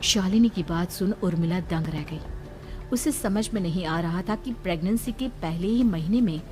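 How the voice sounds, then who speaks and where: Woman narrating horror story over music. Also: lowish voice.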